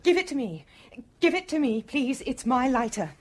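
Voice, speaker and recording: A woman's voice: high voice, very animated, moderate pace. A woman in a radio drama playing an anxious, genteel British wife, well-spoken and hesitant. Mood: anxious, pleading.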